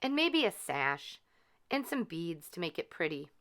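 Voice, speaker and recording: Woman as earnest young storybook heroine, lively pitch. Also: unhurried, full character voice. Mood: hopeful, excited. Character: sweet, hopeful young fairy-tale heroine.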